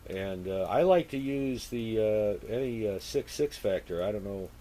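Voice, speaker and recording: A man chatting casually as an amateur radio operator, halting and thinking aloud as he goes. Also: lowish voice, expressive, mellow, a little hiss or hum. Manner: halting, thinking aloud, spells with phonetic alphabet. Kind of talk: casual two-way radio chat.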